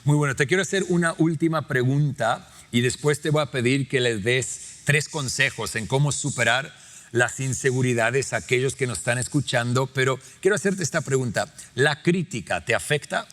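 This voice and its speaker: A man's voice: mid-range voice, moderate pace, faint music behind. A man from Australia, a native English speaker, hosting a conversational interview in an encouraging, exhorting way. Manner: warm, encouraging, exhorting listeners. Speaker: podcast host.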